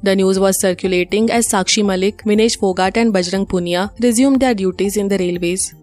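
A woman's voice: medium pitch, steady tone, fairly quick, a little mellow, soft background music. A woman with an Indian accent presents scripted news updates as a newsreader.

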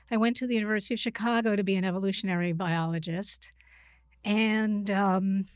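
Mid-pitched woman from New York, dry sound. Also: no echo. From Queens, New York, United States. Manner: explanatory, hesitant, self-correcting. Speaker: psychiatrist, interview guest. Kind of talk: conversational personal account.

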